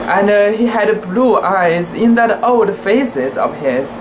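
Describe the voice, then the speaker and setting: Slow, halting amateur story reader. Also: medium pitch, expressive, background noise. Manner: halting, careful word-by-word delivery with stumbles. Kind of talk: dramatic reading of a literary short story.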